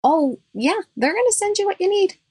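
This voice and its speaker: A woman's voice: very high-pitched, very animated, a little fast, dark tone, no echo. A woman dentist, a guest on a podcast interview, giving firm and emphatic advice.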